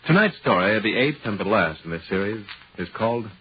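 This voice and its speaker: A man's voice: lowish voice, expressive, a little fast, slight background noise. A man giving a formal announcement as a 1940s radio network announcer. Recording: muffled old 1940s radio recording.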